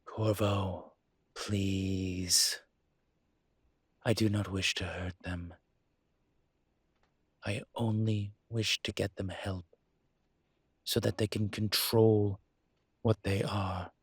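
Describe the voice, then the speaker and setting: Man playing a low, husky, weary incubus. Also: little pitch movement, dry recording, heavily acted. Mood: earnest pleading. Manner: measured, halting, imploring with dry asides. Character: weary, earnest male incubus demon pleading diplomatically. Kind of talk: dramatic audio roleplay.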